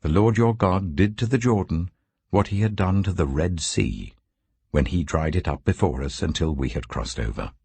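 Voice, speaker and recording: A man with a low, very husky voice narrates scripture like an audiobook. His delivery is solemn and deliberate, with expressive rises and falls in pitch across each phrase. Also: no room sound. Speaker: Bible narrator.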